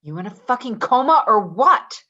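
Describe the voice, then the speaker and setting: A woman's voice: on the high side, very animated, normal speed, pushed and bright, highs cut off, exaggerated voice. A woman acting in a play reading as a volatile, foul-mouthed woman who makes confrontational, threatening demands. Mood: frantic, threatening.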